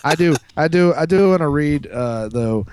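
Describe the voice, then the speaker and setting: A man co-hosting a podcast, talking in a halting, rambling, profane way with crude opinions. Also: fairly high pitch, lively intonation, a little mellow, clear, resonant tone. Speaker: podcast co-host. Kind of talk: casual, crude opinionated group banter.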